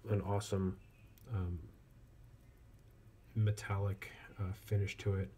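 A man giving a casual hands-on product review as a reviewer, speaking in a halting way with frequent pauses. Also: deep voice. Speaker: watch reviewer. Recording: clear steady background noise.

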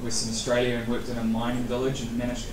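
A man's voice: mid-range voice, completely flat delivery, fast, noisy background. A man in his thirties from New Zealand, answering interview questions casually at a meetup and rambling with many fillers and restarts. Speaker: guest speaker. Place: event venue. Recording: echoey room from far off.

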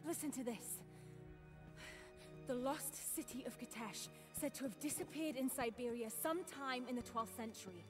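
A driven young woman adventurer from a cinematic video game, played by an actress. Her voice is high, earnest and urgent, with a defiant edge, over a clear musical score. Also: normal speed, music in the background, close to an everyday voice. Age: young adult.

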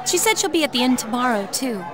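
A woman voicing a feisty, excitable, worried teenage schoolgirl with an American accent in an anime-style video game, expressive and blunt. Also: high-pitched, quick pace. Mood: relieved. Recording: game music and background crowd.

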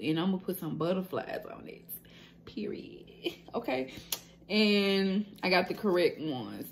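A woman's voice: on the low side, slight background noise. A woman, chatting candidly to her phone camera in a small room, with lots of fillers and slang. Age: 20s. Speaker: nail business owner and vlogger. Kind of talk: casual vlog chat to camera.

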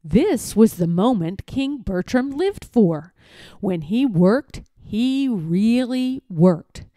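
Slow, animated woman reading a storybook. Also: fairly high pitch, dry recording, studio quality. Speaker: read-aloud storyteller. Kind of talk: expressive children's storybook narration.

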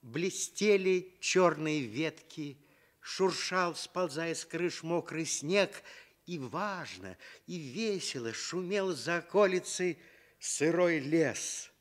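A man narrating a children's tale for radio with deliberate, expressive phrasing. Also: on the high side. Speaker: storyteller.

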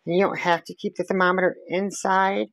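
A woman's voice: on the low side, little pitch movement, moderate pace, dry recording. A woman walking through a recipe step by step in her home kitchen, chatting as she stirs. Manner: chatty step-by-step explaining while stirring. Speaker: home cook. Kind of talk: casual cooking demonstration. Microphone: camera on counter.

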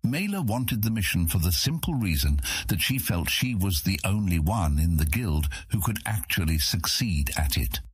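Deep, expressive male fantasy narrator. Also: normal speed, few pauses, no echo, clean studio sound. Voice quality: deep, husky. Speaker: audiobook narrator.

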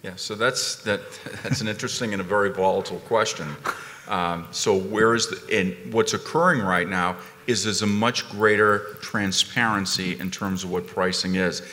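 A man speaking on a conference panel, emphatic and animated, repeating phrases as he builds a point. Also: lowish voice, moderate pace, long reverb tail, slight background noise. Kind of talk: panel discussion. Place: conference ballroom stage.

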